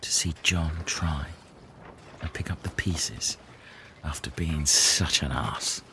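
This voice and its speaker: A man playing a solemn, pretentiously poetic castaway in comedy audio, with breathy, drawn-out, mock-serious delivery. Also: extremely low pitch, sharp tone, a little hiss or hum, exaggerated voice. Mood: scornful. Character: slow, solemn, pretentiously poetic male castaway scolding his friend. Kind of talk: improvised comedy audio play.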